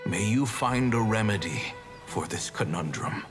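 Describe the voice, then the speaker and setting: An actor playing a sorrowful, dying master healer in a video game, speaking in a solemn, grave and deliberate way. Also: male voice, fairly low pitch, lively intonation. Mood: grave, anguished. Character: sorrowful, dying male master healer entrusting his last question. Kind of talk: scripted video game voice acting. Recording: prominent instrumental music behind the voice.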